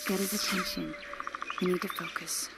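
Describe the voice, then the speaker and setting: An actress playing a confident, pert sorceress companion in a video game. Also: female voice, on the low side, steady tone, normal speed, gravelly, loud music behind the voice. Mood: urgent. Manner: assured, pert. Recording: loud background noise.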